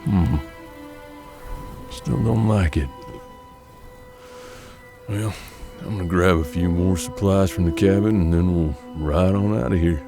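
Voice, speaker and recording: An actor playing a weary, gruff bounty hunter in a western audio drama, with a folksy, unhurried, gravelly drawl. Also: male voice, deep voice, music in the background. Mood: pensive.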